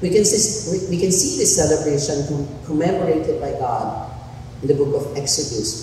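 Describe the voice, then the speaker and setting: A husky, hoarse male pastor preaches slowly in a mellow, low-key tone, setting out his points one by one. He is heard in an echoey church hall, from a distance, with long reverb and faint noise. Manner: deliberate, lays out numbered points, occasional restarts. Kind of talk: sermon.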